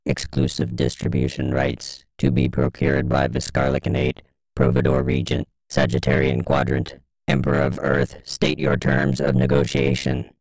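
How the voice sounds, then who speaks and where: Robotic alien envoy, extremely deep, modulator effect, crackling gravel, cold, formal, clipped recitation. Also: male voice, expressive, normal speed, a little mellow, no echo, heavily acted. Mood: cold, official.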